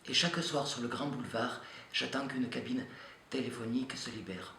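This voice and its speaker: A man on stage reading the part of someone who waits every morning for a letter and every evening for a phone booth, in a quiet, trailing delivery. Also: mid-range voice, normal speed. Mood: wistful. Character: a lonely letter-writer waiting for a phone booth each night. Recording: roomy stage recording, faint hum.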